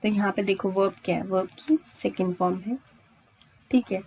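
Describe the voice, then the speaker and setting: A woman's voice: medium pitch, moderate pace, darkish tone, husky, poor audio. An Indian woman teaching grammar step by step, repeating points and answering her own questions with frequent check-in tags. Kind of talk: exam-prep lesson at a board, explaining step by step.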